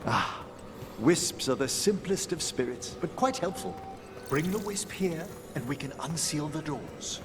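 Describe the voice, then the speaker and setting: A man's voice: fairly high pitch, expressive, measured pace, over music. An actor playing a courteous, scholarly necromancer professor in a video game, a middle-aged man with formal, eloquent speech. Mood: calm, instructive. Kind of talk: video game character acting.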